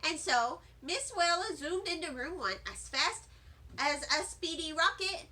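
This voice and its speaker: A woman reading a children's storybook as an excitable, dramatic little first-grade girl, with childlike grammar and emphatic delivery. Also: extremely high pitch, measured pace, exaggerated voice. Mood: breathless, dramatic. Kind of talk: children's storybook read-aloud.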